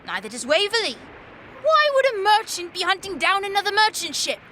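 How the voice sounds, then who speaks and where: Woman as a high-voiced, exasperated young boy. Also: very animated, fairly quick, pushed and bright, full character voice. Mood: earnest, warning. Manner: sighing, argumentative. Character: nine-year-old boy, sensible, exasperated older brother. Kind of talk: audio drama acting. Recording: faint background ambience noise.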